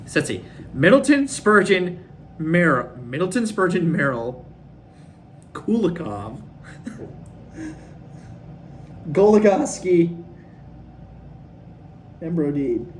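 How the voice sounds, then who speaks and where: A young man from Minnesota hosting a casual fan recap, rambling and excitable, his voice a bit worn from screaming. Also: high-pitched, slow pace, pauses often, slight background noise. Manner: rambling, excitable, lots of fillers. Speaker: fan podcast host. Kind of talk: casual solo recap of a hockey game.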